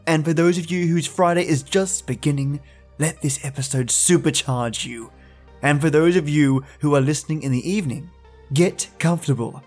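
A man's voice: fairly high pitch, a little mellow. A man hosting a podcast, giving a friendly, scripted introduction. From Australia. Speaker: podcast host.

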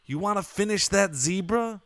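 An actor playing a cocky vampire biker thug, goading and theatrical with mock-grandiose flourishes. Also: male voice, high-pitched, lively intonation, moderate pace, fairly bright tone, no room sound. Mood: goading, smug. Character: cocky male biker-type vampire thug, taunting ringleader.

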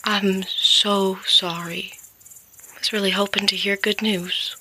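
An actress playing a sympathetic confidante in a naturalistic audio drama, raspy-voiced, gentle and reassuring. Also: female voice, mid-range voice, flat delivery, slow, slight background noise. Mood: sympathetic, soothing. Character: sympathetic, soothing woman confiding with a troubled man.

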